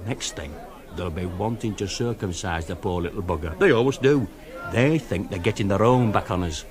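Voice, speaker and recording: A man's voice: low voice, expressive, moderate pace, crowd noise. An actor playing a gossipy, indignant older working-class man with a Northern English accent. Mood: grumbling. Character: grumbling older working-class man, complaining about women.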